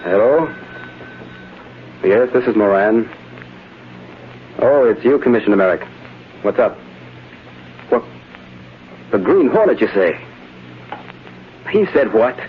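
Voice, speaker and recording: A man's voice: fairly low pitch, animated, slow pace, long pauses. A man playing a wary phone caller in old-time radio drama style. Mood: wary, surprised. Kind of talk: 1930s-40s radio acting. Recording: tinny, faintly noisy vintage radio recording.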